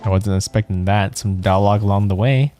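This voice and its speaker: A man's voice: on the low side, a little fast, dark tone, soft background music. A man streaming a let's play, musing to himself in casual commentary. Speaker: game streamer.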